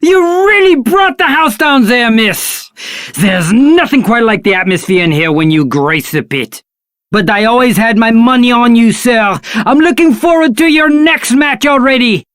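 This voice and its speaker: A woman with a British accent playing an excitable, courteous battle arena attendant in a video game, polite and theatrical. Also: on the high side, moderate pace, no echo, clean studio sound, close to an everyday voice. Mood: admiring. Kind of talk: video game character acting.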